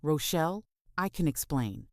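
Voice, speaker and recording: A woman's voice: low voice, lively intonation, measured pace, dry recording, clean studio sound. Woman voicing an anxious, cornered married man. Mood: panicked pleading. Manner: stammering, anxious, defensive. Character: charming married man, cornered and pleading over affair. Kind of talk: audiobook drama dialogue.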